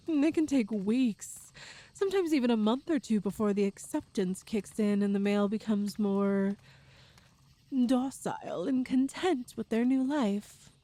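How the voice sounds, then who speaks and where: A woman acts out a tall, strong Amazonian woman in an ASMR audio roleplay, eager and doting. Her voice is fairly high and very mellow, and she takes her time over gentle, slightly formal phrases. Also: no echo. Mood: surprised, curious.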